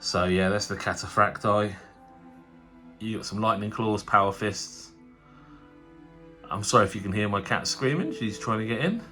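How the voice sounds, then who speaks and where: A man's voice: on the low side, animated, normal speed, pauses often, bright, forceful tone, soft background music. Stuffy-nosed man chatting casually. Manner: chatty, rambling, frequent fillers and restarts. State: nasal from a cold. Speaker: tabletop wargaming channel host. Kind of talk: casual unboxing commentary.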